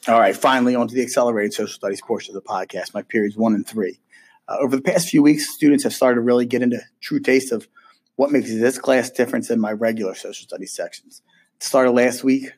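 A man who teaches middle school social studies gives families his weekly podcast update. He is hoarse from a cold and chats casually, with frequent ums and restarts. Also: medium pitch, fairly even intonation, quick pace, a little mellow.

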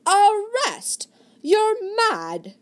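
Woman playing a suave scheming gentleman. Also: high-pitched, very animated, a little fast, voice pushed up. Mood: outraged. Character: smooth scheming gentleman, feigning loyal outrage.

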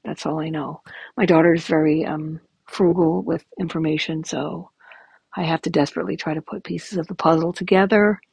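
Raspy, gravelly-voiced woman in her fifties. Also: sits low, darkish tone, no room sound. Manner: rambling, candid, frequent ums. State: hoarse from illness. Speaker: podcast host. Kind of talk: casual personal update.

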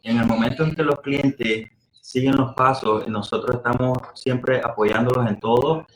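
A man's voice: mid-range voice, fairly even intonation, normal speed. A man explaining his work as a client advisor in an earnest, conversational way, repeating words as he looks for phrasing. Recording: echoey room sound.

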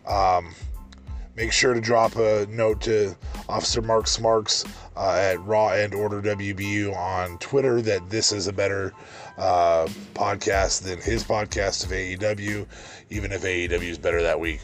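A man with a deep voice hosting a solo podcast, rambling through a wrestling review with many ums and restarts. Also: steady tone, measured pace, faint music behind. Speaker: podcast host.

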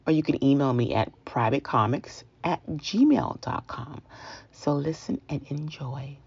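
A woman from New York with an American accent, telling a personal story casually in a playful, joking manner with a husky, gravelly voice. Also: a bass voice, measured pace, a little mellow, dry recording. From New York, USA. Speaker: storyteller, comedian and educator. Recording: dull, lo-fi voice recording.

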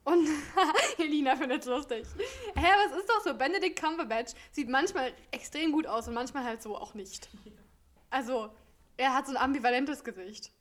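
A woman's voice: high-pitched, animated, moderate pace. A young woman from Germany, chatting casually and rambling with frequent laughs. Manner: giggly, rambling. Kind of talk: casual chat.

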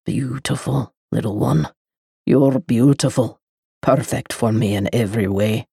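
A woman voicing a brooding, possessive man, speaking in a low, slow, commanding murmur. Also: creaky, warm tone, slightly rough, no room sound, studio quality, heavily acted. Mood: possessive, hushed. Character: brooding possessive man, dark, ageless lover. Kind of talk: audiobook character voice.

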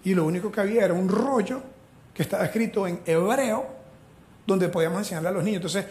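A man from Venezuela preaching a sermon as pastor, animated and joking as he retells the story. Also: high-pitched, fast talker, echoey room, slight background noise.

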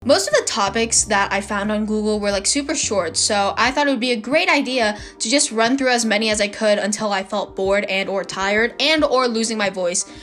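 A woman's voice: mid-range voice, normal speed, few pauses, a bit bright, soft background music. A teenage girl hosting her own podcast, talking in a chatty, dramatic, self-mocking way into her phone, her voice a little worn. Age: teens. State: slightly losing her voice. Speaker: podcast host. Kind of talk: casual solo podcast chat.

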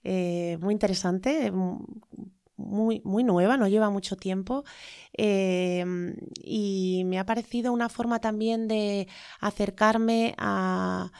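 A woman's voice: mid-range voice, steady tone, takes it slowly, gravelly, darkish tone, clean tone, no room sound, studio quality. A middle-aged woman with a Spanish accent from Spain, speaking as an interview guest in a reflective, hesitant way with many fillers and tag questions.

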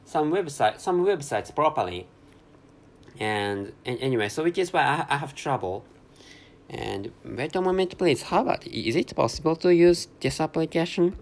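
A man with a Japanese accent hosting a solo podcast from a hotel room, speaking English slowly and carefully, with constant restarts and self-corrections. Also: medium pitch. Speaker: podcast host. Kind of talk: unscripted solo podcast monologue.

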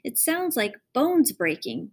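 A woman reading aloud, voicing a small, easily upset boy in a high, pleading tone. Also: very animated, slow, breathy edge, full character voice. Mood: whiny.

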